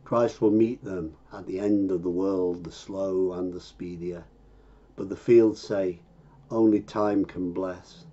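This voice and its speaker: A man recites poetry at a slow, deliberate pace. His voice is low and husky, and the recording is somewhat dull and muffled. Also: steady tone, dark tone. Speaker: poetry reader.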